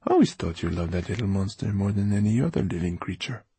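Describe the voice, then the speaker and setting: Man voicing a teasing woman, raised husky pitch. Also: animated, quick pace, warm tone, no echo, heavily acted. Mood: surprised. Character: frank, teasing woman, familiar and suspicious. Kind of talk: audiobook character dialogue.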